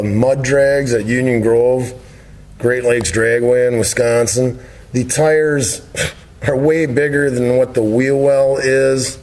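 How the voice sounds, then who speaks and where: A man's voice: medium pitch, a little mellow. A middle-aged man telling a story casually and informally, rambling along in a matter-of-fact way. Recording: roomy echo on the voice.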